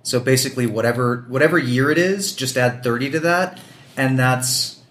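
A man's voice: mid-range voice, normal speed, a bit bright. A man who hosts a podcast, teasing and joking with his parents. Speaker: podcast host. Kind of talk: casual family conversation.

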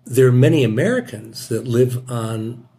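Measured, opinionated older man. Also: mid-range voice, mellow. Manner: measured, opinionated, with hesitant restarts. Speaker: guest, podcast hosts' father. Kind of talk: casual family conversation.

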